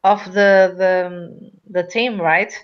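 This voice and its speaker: Halting Portuguese-accented young woman, slow. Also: on the low side, fairly even intonation, clear, resonant tone. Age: young adult. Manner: halting, searching for words, apologetic. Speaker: student in a discussion group. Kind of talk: casual class discussion. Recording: dull lo-fi audio.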